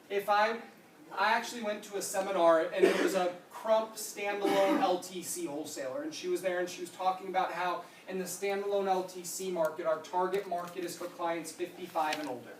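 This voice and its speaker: A trainer in their thirties gives a product training talk to a room and answers questions with quick yeses and nopes. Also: mid-range voice, fairly even intonation. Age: 30s. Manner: explanatory, answers questions with quick "nope" and "yep". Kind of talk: training presentation with Q&A. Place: conference room. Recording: roomy, distant mic with faint noise.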